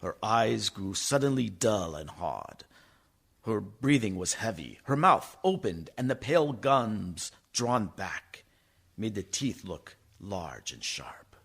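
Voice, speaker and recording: Lowish voice, unhurried, dramatic swings in pitch, solemn grieving doctor, radio play narration, acted by a man. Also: sing-song, vocal fry, a bit bright, slightly rough, exaggerated voice. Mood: solemn, haunted.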